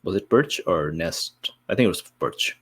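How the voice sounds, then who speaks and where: A man with a fairly low voice and very animated intonation talks as a player in a tabletop game. He is casual and halting, working out ideas as he speaks. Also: husky.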